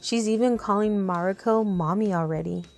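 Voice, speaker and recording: A woman's voice: medium pitch, moderate pace, natural voice. A woman playing a resolute, guarded female fighter in a radio drama. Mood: tender, subdued.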